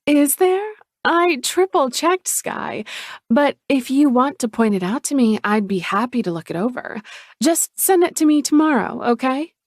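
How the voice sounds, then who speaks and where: A woman's voice: sits high, expressive, a little slow, dry recording, clean studio sound, voice pushed up. A woman reading a novel aloud, voicing a scornful, defiant adult sister in a raised, sneering voice. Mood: sweetly evasive. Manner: sneering, dismissive.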